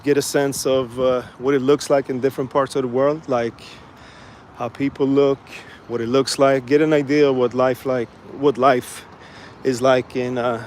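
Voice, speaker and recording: Man from Sweden, walking vlog, mid pitch, loose rambling talk, faint street noise behind. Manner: relaxed, rambling with many fillers and restarts. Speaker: vlogger. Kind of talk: casual live-stream walking vlog. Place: outdoors by the water in a city.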